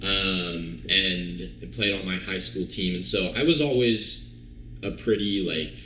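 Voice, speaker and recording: Deep-voiced young man with a bright edge. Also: slow, faint music behind. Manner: relaxed, rambling, many fillers and restarts. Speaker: guest, online fitness coach. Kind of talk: casual storytelling interview. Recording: roomy echo.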